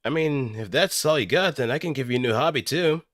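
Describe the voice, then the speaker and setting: A man's voice: medium pitch, animated, brisk, a bit bright, no echo, studio quality, voice pushed up, natural voice. A man voicing a cocky, taunting mecha pilot from a robot war game in a mocking manner. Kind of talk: game battle-line acting.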